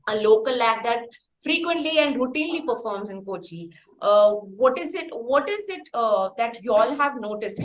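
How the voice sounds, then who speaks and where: A woman with an Indian accent interviewing in a conversational style, with wordy, halting phrasing. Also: fairly high pitch. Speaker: podcast interviewer. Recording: roomy echo, faint background noise.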